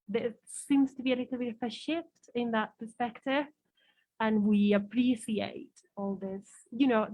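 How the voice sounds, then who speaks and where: A woman's voice: a little high, a little mellow, rough recording. A woman from Spain with a Spanish accent, talking informally and encouragingly as a teacher leading a seminar discussion. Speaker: seminar speaker, English for academic purposes teacher.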